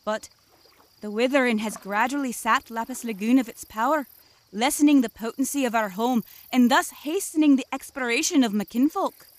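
An actress playing a dignified, solemn selkie elder with formal, ornate speech in a fantasy audio drama. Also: female voice, fairly high pitch, moderate pace, lightly acted.

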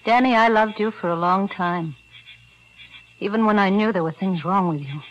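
An actress playing a killer's young girlfriend in a 1940s radio drama, quiet and earnest. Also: mid-range voice, moderate pace, lightly acted. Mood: bitter. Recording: dull 1940s radio broadcast.

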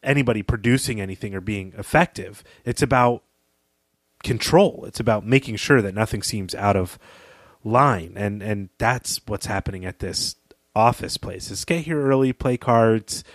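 A man's voice: fairly low pitch, normal speed, no room sound. A man co-hosting a podcast, giving conversational commentary in an opinionated, emphatic way. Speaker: podcast co-host.

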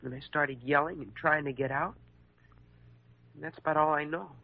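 An actor with an American accent playing a defensive, pleading injured schoolboy in an old-time radio drama, speaking in hesitant boyish slang. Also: male voice, on the high side, moderate pace, creaky. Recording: muffled vintage radio recording.

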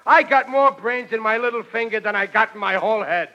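A man's voice: sits high, fairly quick, background noise, natural voice. An actor playing a blustering, well-meaning working-class American father in a 1940s radio comedy, folksy and bumbling. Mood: blustering, confident. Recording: dull vintage radio recording.

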